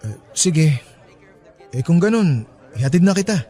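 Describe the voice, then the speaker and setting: Young male office worker, radio drama, higher-pitched, teasing, coaxing, music and crowd behind. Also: very animated, mellow, breathy edge. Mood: warm, earnest. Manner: teasing, coaxing, hesitant pauses. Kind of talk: radio drama acting, conversational Tagalog.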